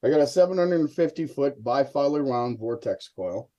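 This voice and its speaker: A man's voice: medium pitch, lively intonation, moderate pace, mellow, lo-fi sound. A middle-aged man giving a casual live demonstration on a video call as a hobby experimenter. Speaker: hobby experimenter, guest presenter. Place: indoor room, video call.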